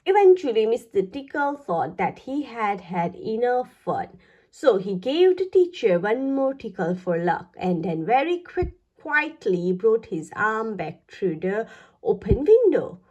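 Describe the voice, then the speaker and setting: A woman reading a children's picture book aloud, with expressive, deliberate phrasing aimed at young listeners. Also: on the high side, muffled recording. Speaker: children's story reader. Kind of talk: read-aloud children's storytelling.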